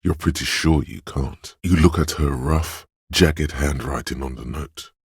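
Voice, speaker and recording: A very deep, raspy inner voice. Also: male voice, unhurried, no echo, studio quality, exaggerated voice. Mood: sly, intrigued. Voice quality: very deep, gravelly, husky. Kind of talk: scripted second-person game narration.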